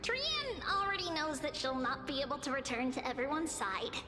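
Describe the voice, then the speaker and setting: Very high, childlike little girl. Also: animated, moderate pace, long reverb tail, background noise. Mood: gentle, earnest. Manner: refers to self by own name, sweet, childish phrasing. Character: cheerful, childlike little girl spirit speaking in third person. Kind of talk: anime-style video game voice acting. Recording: game music behind the voice.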